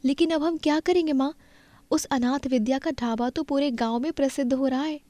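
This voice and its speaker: A woman's voice: sits high, fairly quick, dark tone, no echo, pitched above the performer's own voice, close to an everyday voice. A woman voicing a young village daughter, eager to follow her mother, in a cartoon story. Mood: spiteful, loyal.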